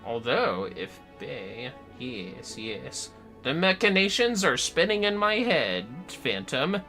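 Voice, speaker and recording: A man's voice: mid-range voice, very animated, takes it slowly, sharp tone. A man chatting casually on a gaming livestream, sweary and playfully theatrical, with music behind him. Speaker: livestreaming gamer.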